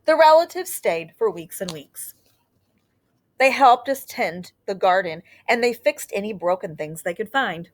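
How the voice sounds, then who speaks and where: A woman reading a children's picture book aloud like a teacher, with expressive storytelling and deliberate, drawn-out phrasing. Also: mid-range voice.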